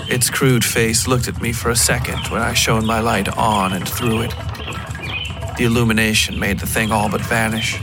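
A man reading scripted dark fiction as a story narrator. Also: fairly low pitch.

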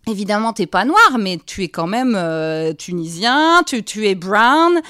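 A woman's voice: medium pitch, very animated, measured pace, sharp tone, clean tone, no room sound, clean studio sound. A woman interviewer asking long, chatty questions that stumble and restart.

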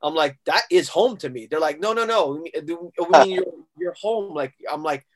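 A man's voice: on the high side, normal speed. A middle-aged man from Hong Kong, a guest musician talking casually and acting out quoted exchanges as he tells stories. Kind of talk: casual interview talk.

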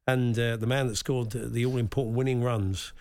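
A man's voice: medium pitch, fairly even intonation, fairly quick, a little mellow, dry recording. A man hosting a radio show, teasing his co-host in dry, casual banter and laughing along.

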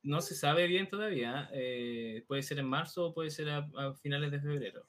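A young man from Chile with a Chilean accent, chatting and explaining slowly with many fillers on a podcast. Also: a little high, fairly bright tone. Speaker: podcast co-host. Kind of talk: casual chat.

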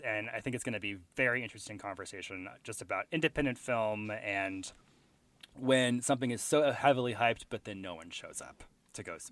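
Lively-voiced male podcast co-host. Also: medium pitch. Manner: chatty, laughing, trailing restarts. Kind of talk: casual chat.

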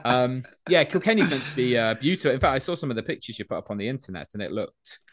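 A man's voice: medium pitch, lively intonation. Teasing, playful podcast co-host man. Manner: playful teasing banter, quick comic riffs. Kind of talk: casual chat.

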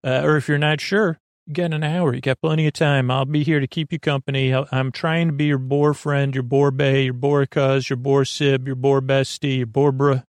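Gravelly, rambling male bedtime podcast host. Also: mid-range voice, little pitch movement, dry recording. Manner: meandering, halting, circles back and trails off mid-thought.

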